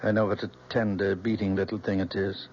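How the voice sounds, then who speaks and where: An American man, middle-aged, playing a possessive, doting, scholarly father in an old-time radio drama with a genteel, measured manner. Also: fairly low pitch, flat delivery, a little mellow, lightly acted. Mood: tender, wistful. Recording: muffled, noisy old radio recording.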